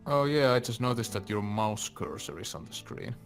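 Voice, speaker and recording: A man's voice: lowish voice, very animated, moderate pace, creaky, over music. A man giving casual co-commentary on a video game in a group chat.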